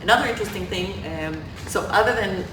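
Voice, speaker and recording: A woman's voice: medium pitch, fast. Woman in an echoey hall. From Israel. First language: Russian. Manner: candid, self-deprecating, frequent restarts. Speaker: startup founder panelist. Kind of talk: live panel discussion. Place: event hall with audience. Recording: echoey room, distant mic, noisy.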